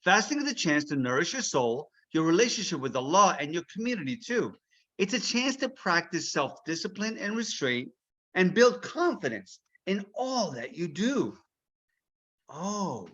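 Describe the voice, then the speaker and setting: A man reading a children's storybook aloud as its author, expressive and checking in with his listeners. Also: on the high side, lo-fi sound.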